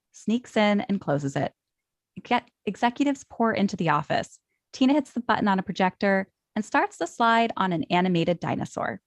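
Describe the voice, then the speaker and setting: A woman's voice: medium pitch, no room sound. A woman narrating script stage directions in a matter-of-fact way.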